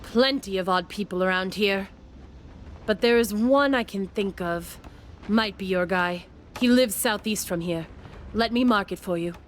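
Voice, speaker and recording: An actress playing a shrewd, self-assured monster hunter in a video game, with a wry, unhurried manner. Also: female voice, mid-range voice, a little hiss or hum, natural voice. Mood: wry, confident.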